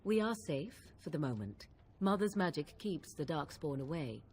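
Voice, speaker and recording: A woman's voice: low-pitched, very animated, normal speed, darkish tone, slight background noise. An actress playing a young witch of the wilds in a video game, aloof and dry-witted, with archly formal, old-fashioned phrasing. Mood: cool, matter-of-fact. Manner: archaic, arch, coolly sardonic phrasing. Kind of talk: video game cutscene acting.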